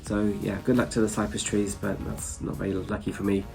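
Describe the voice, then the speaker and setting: A man's voice: on the low side, flat delivery, fairly quick, creaky, darkish tone, soft background music, low-quality recording. A man with a blocked-up nose from hay fever, chatting casually and a little rambling to his viewers, with small chuckles. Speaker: travel vlogger. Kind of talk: casual chat to camera.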